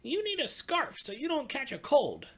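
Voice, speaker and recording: A man's voice: extremely high pitch, huge pitch swings, normal speed, pushed and bright, pitched above the performer's own voice, exaggerated voice. A man voicing a small, bossy but caring bear cub in a children's storybook, with an insistent, scolding way of talking. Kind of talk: children's storybook read-aloud.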